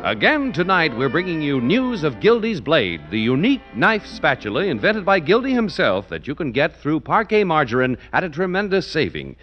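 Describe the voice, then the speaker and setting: A male announcer from a vintage radio broadcast, booming and theatrical. His pitch leaps up and down dramatically as he reads, with faint music behind him. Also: wildly swooping pitch, moderate pace, hardly any pauses, highs cut off.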